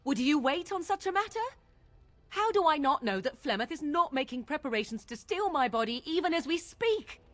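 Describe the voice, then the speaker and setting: A woman's voice: sits high, very animated, fairly quick, fairly bright tone, dry recording. An actress playing a proud, imperious young witch in dramatic video game dialogue, with formal, precise diction. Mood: urgent, indignant. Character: proud, imperious young witch fearing her murderous mother.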